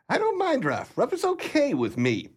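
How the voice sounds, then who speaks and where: A woman playing an eager, cocky young man who wants into a gang in a pulp crime radio play, swaggering and husky. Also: sits high, huge pitch swings, measured pace, exaggerated voice.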